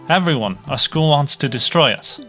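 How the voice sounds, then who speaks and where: A man's voice: a little high, animated, moderate pace, a bit bright. A young man acting as a bitter, overworked student technician in a sci-fi audio drama, griping sarcastically through a monologue. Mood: bitter, frustrated. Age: young adult. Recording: music behind the voice.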